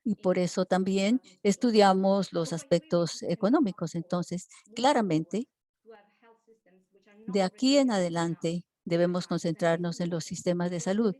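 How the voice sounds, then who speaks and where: A woman working as a simultaneous interpreter for an online seminar. She keeps a steady delivery, broken by frequent hesitation fillers. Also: fairly low pitch, measured pace. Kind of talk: live interpretation for a webinar.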